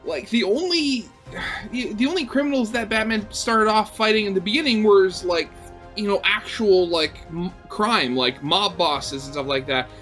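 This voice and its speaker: A man's voice: high voice, a bit bright. A young man hosting a reaction video, chatting casually and rambling with lots of fillers and restarts.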